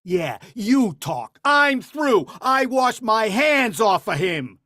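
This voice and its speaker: A woman's voice: fairly high pitch, very animated, a bit bright, dry recording, clean studio sound, heavily acted. A woman playing an exasperated, blustering middle-aged father in a vintage-style radio play. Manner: blustery, quick to give up in frustration.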